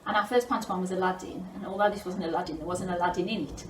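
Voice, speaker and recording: Brisk female producer in casual conversation, roomy and off-mic. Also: on the low side. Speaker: amateur pantomime producer and writer. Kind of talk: casual interview chat. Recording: echoey, distant mic, faint noise.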